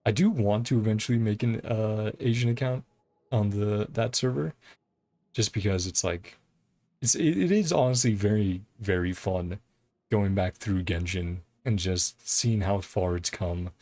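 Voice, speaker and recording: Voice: deep voice, measured pace, dark tone. A game streamer giving casual, rambling live commentary in a chatty way, often chuckling. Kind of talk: casual livestream gameplay commentary. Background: game music.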